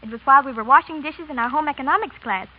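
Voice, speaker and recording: A woman's voice: high voice, very fast, lightly acted. An actress playing a perky, pert schoolgirl in a 1930s radio advert, with an eager, singsong manner. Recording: tinny, narrow 1930s radio broadcast.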